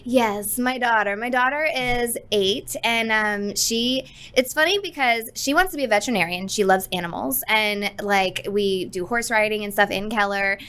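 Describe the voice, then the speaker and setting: A woman's voice: mid-range voice, normal speed, hardly any pauses, clean tone. A woman in her thirties, born in Texas and raised in the Northeast US, chatting as a podcast guest in a friendly, easygoing way. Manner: friendly, warm, often laughing, uses fillers. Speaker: guest, hairstylist and entrepreneur. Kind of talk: casual interview chat.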